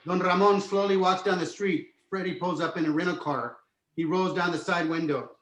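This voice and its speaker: A man's voice: high-pitched, steady tone, moderate pace, fairly bright tone. A man narrating a screenplay's stage directions as scripted reading, recorded in an echoey room.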